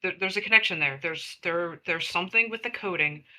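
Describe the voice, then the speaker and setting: A woman playing a tabletop roleplaying game, improvising in character in a halting, earnest way with repeated phrases. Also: on the low side, a crowd in the background, rough recording.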